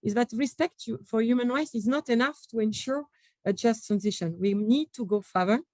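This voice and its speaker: A woman's voice: fairly high pitch, brisk, warm tone, dry recording. A woman from France with a French accent, speaking on a conference panel with many fillers and restarts.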